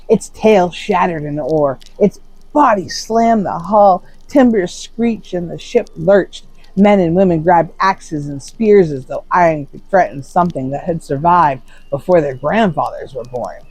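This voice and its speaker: A storyteller giving a dramatic scripted narration of a Norse saga, solemn and theatrical, with deliberate pauses for suspense. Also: medium pitch, a little mellow, slightly rough, no room sound, rough recording.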